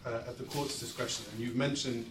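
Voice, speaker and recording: A man in the audience of a lecture asking a law professor a question, hesitant and halting with frequent pauses. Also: mid-range voice, flat delivery, heavy background noise. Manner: hesitant, many ums and restarts. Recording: roomy hall, mic at a distance.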